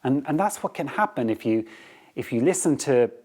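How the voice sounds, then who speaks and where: A man's voice: medium pitch, lively intonation, normal speed, a little mellow, breathy edge. Irish man giving an earnest leadership talk. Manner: earnest, repeats words, checks in with "okay?". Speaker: podcast host.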